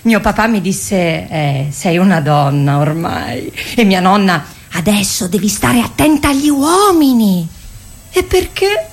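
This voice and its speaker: A woman's voice: mid-range voice, sing-song, unhurried. An actress playing a naive, curious woman who retells her girlhood in a radio theatre monologue.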